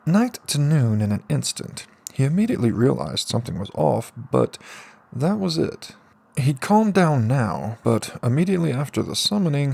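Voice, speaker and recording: A man's voice: medium pitch, gravelly, a little mellow. A man reading out scripted narration in the manner of an amateur audiobook. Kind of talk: scripted light novel narration.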